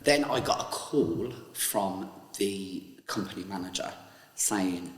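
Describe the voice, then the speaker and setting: A man's voice: deep voice, takes it slowly, reverberant, long reverb tail, low-quality recording. A man recalling his early stage career in an interview, telling it earnestly. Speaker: interviewee, actor and dancer. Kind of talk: anecdotal interview.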